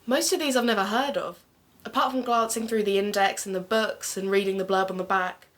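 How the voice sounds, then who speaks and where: An actress playing a curious, keen podcast co-host and amateur investigator in an audio drama. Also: female voice, mid-range voice, steady tone, brisk, fairly bright tone, lightly acted. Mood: earnest, keen. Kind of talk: audio drama dialogue and narration.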